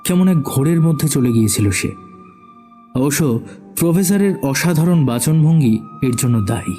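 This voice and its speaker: A man's voice: a little high, expressive, moderate pace, warm tone. A man giving dramatic scripted narration of a horror story. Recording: background music under the voice.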